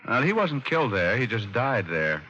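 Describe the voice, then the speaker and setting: An actor playing a wry, tough American private detective in an old radio drama, speaking in a laconic, offhand way. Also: male voice, mid-range voice, very animated, moderate pace, sharp tone, slight background noise. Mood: wry, relaxed. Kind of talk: 1940s-50s radio drama acting and first-person narration. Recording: dull old radio recording with music.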